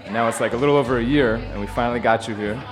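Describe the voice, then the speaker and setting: A man's voice: medium pitch, racing, music in the background, rough recording. A man hosting a radio show, laid-back and slangy as he introduces a DJ guest. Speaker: radio host. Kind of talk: casual radio interview. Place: storefront music bar.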